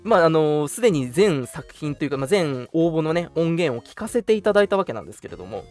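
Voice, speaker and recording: A man's voice: a little high, big pitch swings, fast, darkish tone, faint music behind. A young man hosting an online radio show, making announcements in a polite, earnest, conversational way. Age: young adult.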